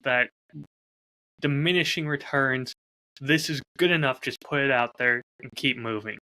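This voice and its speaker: Very bright-voiced man in podcast chat. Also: mid-range voice, unhurried, poor audio. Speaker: podcast host and audio drama creator. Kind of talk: casual reflective podcast conversation.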